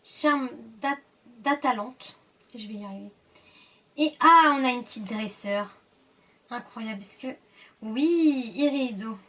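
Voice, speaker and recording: A woman's voice: fairly high pitch, slow pace, long pauses, a bit bright, recorded from a distance. Stuffy-voiced woman chatting over card packs. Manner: chatty, hesitant, trailing off and reading card names aloud. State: stuffy from a cold. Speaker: Pokémon card collector opening packs. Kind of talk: casual pack-opening commentary.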